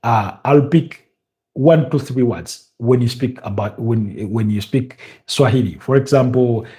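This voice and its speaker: A man with a Ugandan accent and a mellow, warm voice at an ordinary middle pitch. He previews football matches in a loose, off-the-cuff manner, stopping often to search for words. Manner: halting, many fillers and restarts. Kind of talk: casual live-stream football talk.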